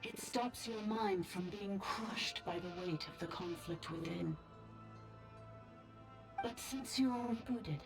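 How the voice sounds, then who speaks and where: A woman's voice: mid-range voice, close to an everyday voice. A woman playing an ancient sovereign priestess speaking through a robot in a video game, solemn, formal and cryptic. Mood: grave, ominous.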